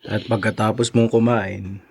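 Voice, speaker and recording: An actor playing a loyal, encouraging friend of a prisoner, earnest and reassuring, in a radio drama. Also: male voice, fairly low pitch, flat delivery, a little slow, vocal fry, a little mellow, breathy edge, heavily acted.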